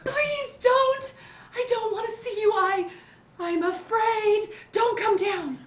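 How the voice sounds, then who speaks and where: Woman acting a very high, terrified pleading voice. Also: slow, reverberant, dull audio. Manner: pleading, tearful. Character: dream self, terrified woman pleading into the dark. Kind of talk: radio drama acting.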